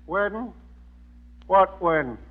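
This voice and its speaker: An old cowboy with a high voice and a folksy Western drawl. He is blustery and absent-minded, in the manner of 1940s radio comedy, on a muffled old recording. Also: lively intonation, slow, full character voice. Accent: American Western drawl. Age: older. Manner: folksy frontier talk, threatening bluster.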